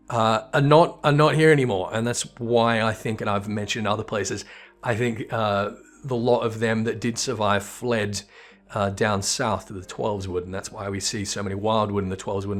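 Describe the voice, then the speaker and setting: A man's voice: lowish voice, steady tone, moderate pace. A man giving casual, reflective commentary as he plays through a video game. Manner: reflective, musing. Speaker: gaming channel host.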